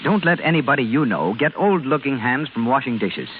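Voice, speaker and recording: Male vintage radio pitchman, scripted and lively, thin phone-like band with hiss. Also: brisk. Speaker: commercial announcer. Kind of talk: scripted 1930s radio advertisement. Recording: hissy, phone-like old radio recording.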